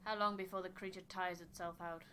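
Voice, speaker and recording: Irritable duty-bound woman, played by a woman, game character, formal, exasperated, bright tense tone. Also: lowish voice, little pitch movement, a little fast, slight background noise. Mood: prim, annoyed.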